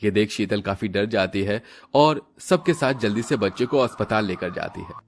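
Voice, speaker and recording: A man's voice: lowish voice, animated, moderate pace, a little hiss or hum. A man narrating a scripted moral story for children as its storyteller.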